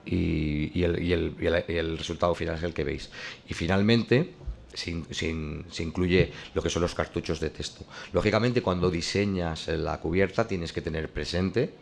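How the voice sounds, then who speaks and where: A comic artist talks through how he works, his voice very low and gravelly. He stops and restarts often. A faint hiss sits behind him. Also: moderate pace. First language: not French. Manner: hesitant, many restarts and fillers. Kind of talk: explaining his drawing process.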